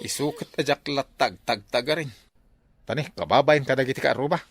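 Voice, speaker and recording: A man's voice: medium pitch, fairly quick, fairly bright tone. A man playing a flustered, henpecked husband in a comic radio drama, full of yelping protests and pleading. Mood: resigned.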